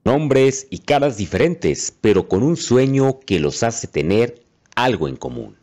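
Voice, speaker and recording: A man reading a news voice-over in a lyrical, measured way. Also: medium pitch, lively intonation, dark tone. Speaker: news reporter. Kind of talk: scripted voice-over narration.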